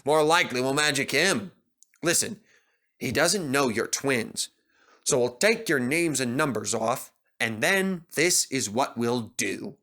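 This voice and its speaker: A man's voice: medium pitch, animated, unhurried, sharp tone. A man doing jovial engine drivers. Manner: jovial, reassuring. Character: jolly railway engine drivers.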